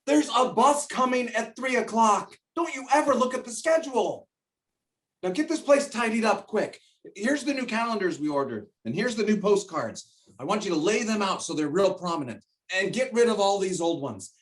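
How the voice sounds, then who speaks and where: A man's voice: sits high, expressive, a bit bright, distant mic. A man playing a bossy, greedy middle-aged shopkeeper father in a stage play, blustering and drawing out his words. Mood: bossy, impatient. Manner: blustering, emphatic, drawn-out words. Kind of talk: stage play acting over video call.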